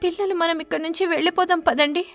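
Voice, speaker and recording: Woman as a plaintive high-voiced mother sparrow. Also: steady tone, quick pace, no room sound, faint music behind. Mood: sad, polite. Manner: plaintive, emotional. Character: distressed, pleading mother sparrow.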